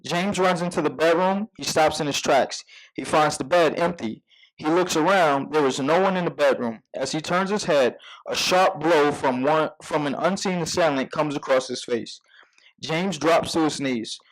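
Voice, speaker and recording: A man's voice: fairly high pitch, moderate pace, low-quality recording. A man reading out scene headings and action as narrator at a scripted table read.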